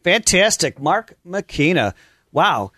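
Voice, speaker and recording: A man's voice: high voice, sing-song, takes it slowly, bright, forceful tone, no echo. A man hosting a live radio talk show from a studio, with an effusive, exclamatory, promotional patter.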